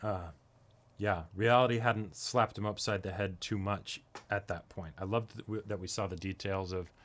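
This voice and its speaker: A man's voice: fairly low pitch, steady tone, moderate pace, slight background noise. A man co-hosting an anime show, talking casually and tentatively, often checking in with his listener. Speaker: co-host of an anime reaction show. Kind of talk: casual discussion.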